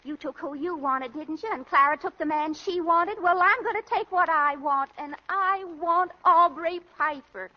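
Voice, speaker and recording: A woman's voice: very high-pitched, normal speed, hardly any pauses. An actress playing a headstrong, love-struck young woman with earnest, emphatic 1930s radio drama delivery. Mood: defiant. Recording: muffled old 1930s radio recording.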